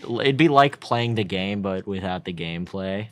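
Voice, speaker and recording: A man's voice: low-pitched, expressive, moderate pace, a bit bright. A young man in casual conversation about video games, with a blunt, opinionated, teasing way of talking. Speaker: podcast co-host.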